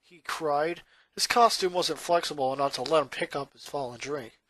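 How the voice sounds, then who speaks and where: A narrator reading a scripted story aloud in an expressive, dramatic storytelling manner. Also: medium pitch, normal speed, no echo.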